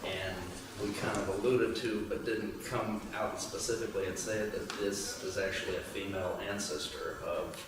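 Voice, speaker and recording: Male screenwriter, lowish flat delivery, casual panel talk in a reverberant, distant recording. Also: moderate pace, few pauses. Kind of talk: panel question-and-answer, casual. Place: indoor room at a table read event. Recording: distant, very echoey, noisy room.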